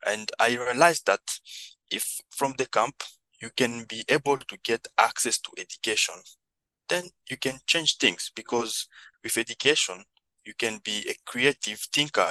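Bright, forceful man with a Congolese accent. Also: medium pitch, no room sound, rough recording. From Democratic Republic of Congo. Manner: earnest, non-native English phrasing. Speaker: podcast guest, social entrepreneur. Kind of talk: reflective interview answers.

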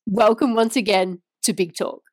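A woman's voice: mid-range voice, steady tone, normal speed, darkish tone, a little hiss or hum. A woman host reading a polished, upbeat scripted intro for her podcast.

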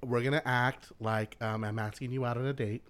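A man's voice: medium pitch, fairly even intonation, fairly quick, fairly bright tone. A man co-hosting a comedic chat, teasing and laughing often, his voice a little worn. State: losing his voice. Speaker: co-host.

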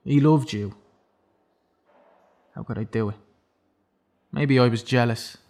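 Remorseful prisoner, mid-pitched, halting, self-loathing, confessional, naturalistic radio drama. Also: male voice, a little mellow. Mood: pleading, remorseful. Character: remorseful imprisoned killer confessing to a victim's mother. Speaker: actor in audio drama.

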